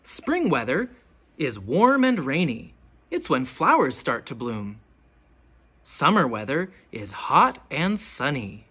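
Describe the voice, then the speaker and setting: A teacher reading a children's picture book aloud, with expressive, deliberate questions pitched to young children. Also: breathy edge. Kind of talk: children's storybook read-aloud.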